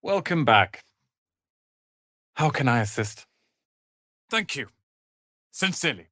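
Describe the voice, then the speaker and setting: Haughty young wizard, close and dry. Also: male voice, mid-range voice, big pitch swings, slow pace, dry recording, full character voice. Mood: contrite. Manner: haughty, theatrical, sharp-tongued. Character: proud, prickly young male wizard's apprentice. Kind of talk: fantasy video game voice acting.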